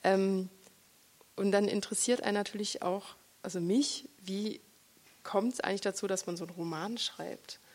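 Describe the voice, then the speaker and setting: A woman interviewing an author at a book reading, talking thoughtfully and pausing often to search for words. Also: mid-range voice, fairly even intonation. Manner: reflective, searching for words with many pauses.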